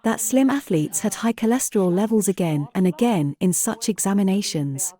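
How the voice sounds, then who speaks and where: A woman's voice: medium pitch, moderate pace, mellow. A woman hosting an interview, talking conversationally in an engaged, inquisitive manner. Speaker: interviewer, host.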